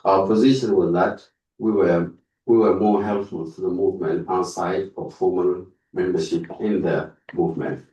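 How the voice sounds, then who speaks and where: A man reading a prepared tribute aloud at a conference, haltingly, stumbling over words and repeating them. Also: sits low, fairly even intonation, warm tone, lo-fi sound. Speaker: speaker reading out a written tribute. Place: indoor conference room. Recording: roomy echo, distant microphone.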